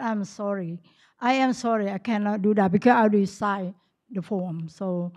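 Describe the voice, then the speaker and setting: Woman panelist, lots of um and uh, kinda high voice, bit muffled sound. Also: a little mellow. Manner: hesitant, many fillers and restarts, broken English. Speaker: panel speaker. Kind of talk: answering audience questions. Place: conference ballroom. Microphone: stand mic close up.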